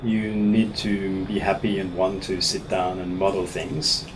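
A man from Switzerland in his thirties, a professor answering interview questions in a small office in a measured way, often restarting his words. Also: sits low, little pitch movement. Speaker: actuarial professor being interviewed. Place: small office meeting room, seated at a table. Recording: room recording with audible air conditioning hum.

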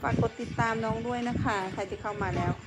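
A woman's voice: a little high, fast talker, heavy background noise. A woman chatting casually as the host of a family livestream, often repeating her prompts and requests to viewers. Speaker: mother hosting a livestream of a child. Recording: loud music behind the voice. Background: loud music.

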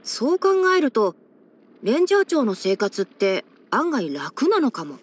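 Game role: clever, gentle young male fox-eared ranger, high boyish voice, measured and softly wry. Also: huge pitch swings, breathy edge, exaggerated voice. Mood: matter-of-fact. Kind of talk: Japanese game character voice-over.

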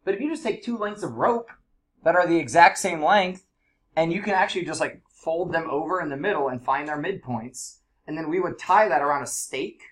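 Stuffy-nosed guy teaching math to a class, fairly high voice, chatty and loose. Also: brisk, a bit bright. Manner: casual, chatty explaining with fillers. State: congested from allergies. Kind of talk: classroom lesson.

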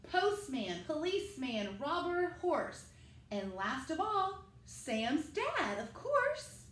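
A middle-aged woman, a school teacher, reading a picture book aloud to children and speaking to them directly in an animated way. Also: sits high, takes it slowly. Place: living room at home, indoors. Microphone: camera a few metres away. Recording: echoey room with faint noise.

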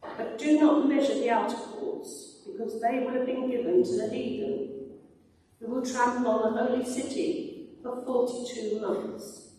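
A woman's voice: on the high side, a little slow, mellow. Echoey older woman reading scripture. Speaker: scripture reader. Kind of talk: church scripture reading aloud. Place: church, at a lectern. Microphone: microphone on a boom stand. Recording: very echoey church, distant sound.

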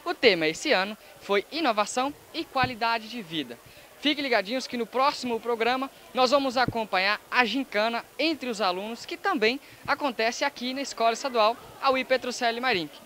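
A man's voice: very high voice, moderate pace, bright, forceful tone, a little hiss or hum. Young man reporting at a school fair. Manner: announcing, scripted. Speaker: student reporter. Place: school fair, indoor stands. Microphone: handheld mic.

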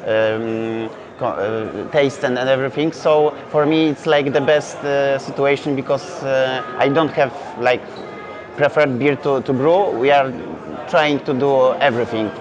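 Halting Polish-accented young man at a festival. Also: mid-range voice. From Poland. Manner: halting, hesitant, many fillers and restarts. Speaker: brewery founder. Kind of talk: festival interview. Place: indoor beer festival hall. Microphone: lapel mic. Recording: dull lo-fi sound with festival crowd chatter.

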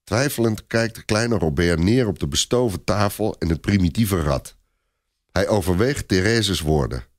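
Animated, lowish-voiced male storyteller. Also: normal speed, no room sound, studio quality. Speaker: narrator. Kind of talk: scripted story narration.

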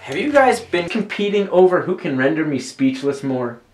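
An opinionated young geek played by a man in a comedy sketch, emphatic and skeptical. His pitch is fairly high and swings a lot. He is recorded a bit away from the mic in a room. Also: very animated, moderate pace, a little hiss or hum. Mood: puzzled. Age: young adult. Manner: emphatic, argumentative. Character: opinionated argumentative male geek, skeptical and dismissive. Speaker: actor in a web comedy sketch. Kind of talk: scripted comedic debate. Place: small furnished room indoors. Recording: roomy, mic some distance away.

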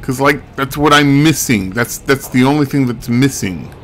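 A man's voice: medium pitch, normal speed, fairly bright tone, slight background noise. A man giving casual, rambling video game commentary in a wry, musing way, thinking aloud. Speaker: game streamer.

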